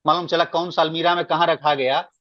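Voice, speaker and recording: Man, emphatic meeting explainer, slightly high pitch, lo-fi dry conference audio. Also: steady tone, fairly quick, no room sound. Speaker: meeting speaker giving guidance. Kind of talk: explanatory work meeting talk. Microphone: online conference call. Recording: dull, lo-fi conference call.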